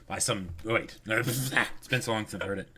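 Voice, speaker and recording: A man's voice: on the low side, a little fast, pushed and bright, slightly rough. A man co-hosting a manga read-along stream, giving casual reactions and commentary.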